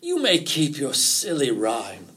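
Man playing a proud, insistent storybook rabbit, lively pitch. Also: slow, heavily acted. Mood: boastful. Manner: defiant, insistent, boastful. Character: proud, stubborn male rabbit chief, demanding and eager. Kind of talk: animal folktale storytelling.

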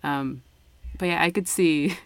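A woman in a relaxed casual conversation who thinks aloud and laughs lightly. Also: sits low, unhurried, no echo. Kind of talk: casual chat.

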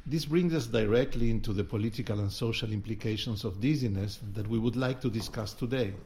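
A man's voice: on the low side, brisk, darkish tone, a little hiss or hum. A man co-hosting a podcast, presenting in a semi-scripted style. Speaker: podcast co-host and curator. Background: music with instruments.

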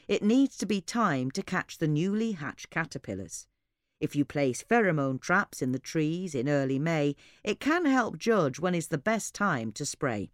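Female podcast reader, low register with lively intonation, scripted informative delivery, measured and articulate. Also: dry recording, studio quality. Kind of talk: scripted informative narration of gardening advice.

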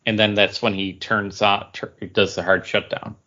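Man, slightly low voice, halting delivery, casual podcast recap, somewhat muffled microphone. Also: flat delivery, sharp tone. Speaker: comics podcast co-host. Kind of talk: casual story recap and discussion.

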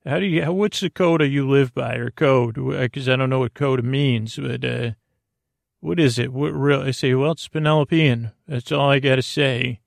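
A man hosting a sleep podcast in a meandering, halting monologue, whimsical and self-deprecating, stammering and circling back on his words. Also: mid-range voice, vocal fry, no room sound, studio quality. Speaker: host of a bedtime story podcast.

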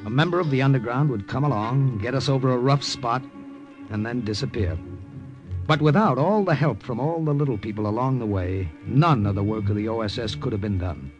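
A man's voice: mid-range voice, brisk, highs cut off, over music, close to an everyday voice. An actor playing an American spy who narrates his wartime mission in a plain, matter-of-fact American voice. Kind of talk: 1940s radio drama narration.

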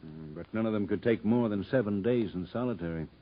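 A man playing a level-headed, principled prison doctor in a 1950s radio drama, in a measured, matter-of-fact way. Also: fairly low pitch, lightly acted. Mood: concerned, firm. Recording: muffled vintage radio broadcast.

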